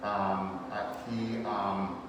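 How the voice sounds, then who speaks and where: A man's voice: lowish voice, little pitch movement, very slow, muffled recording. A man from Illinois, a distillery owner, telling stories in a friendly way with many fillers. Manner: friendly, storytelling, hesitant fillers. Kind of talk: casual interview answers. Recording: echoey hall from far off with noise.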